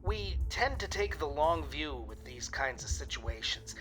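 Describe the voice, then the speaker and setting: Man playing a bright-voiced, businesslike wildlife agent. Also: fairly high pitch, sing-song, a little slow, soft background music, poor audio. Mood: calm, official. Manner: matter-of-fact, polite, procedural. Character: calm, businesslike male federal wildlife agent. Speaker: special agent. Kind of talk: official phone conversation in audio drama.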